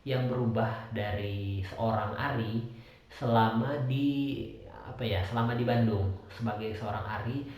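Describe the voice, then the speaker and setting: A young man from Medan talks through a casual solo podcast in a fairly low voice. His Medan accent has been softened, and he rambles with lots of fillers and restarts. The small room around him rings with heavy echo. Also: measured pace. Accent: Medan Indonesian, softened. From Medan, North Sumatra, Indonesia. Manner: rambling, many fillers and self-corrections. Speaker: podcast host. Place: small rented room, indoors.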